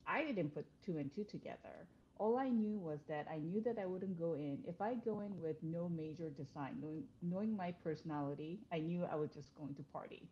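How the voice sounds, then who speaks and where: A woman's voice: on the low side, fairly quick, hardly any pauses, muffled recording. A woman in her forties, born in South Korea, talking casually as a podcast guest with frequent fillers and restarts. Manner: conversational, many fillers and restarts, laughs easily. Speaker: interior design principal, podcast guest. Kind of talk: casual interview chat.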